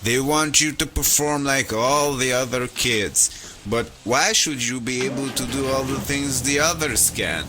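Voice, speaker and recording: A man's voice: medium pitch, measured pace. An actor playing a hot-headed, sardonic male radio host who grumbles through comic monologues in a video game. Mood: grumbling, sardonic. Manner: grumbling, rambling, complaining. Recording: loud music and car noise over voice. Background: loud music, car engine.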